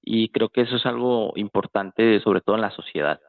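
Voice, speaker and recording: A man's voice: fairly low pitch, normal speed. A man with a Mexican accent in casual, conversational discussion, talking colloquially and checking in with tag questions. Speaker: guest in a political discussion. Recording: tinny phone-like, roomy echo.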